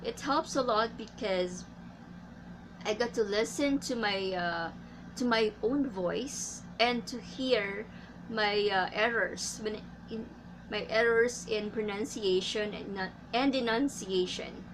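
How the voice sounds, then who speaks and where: A woman from the Philippines with a Filipino accent, an English teacher giving tips in a casual vlog, speaking carefully with many pauses and fillers. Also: mid-range voice, noisy background. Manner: slow and careful, frequent uh fillers.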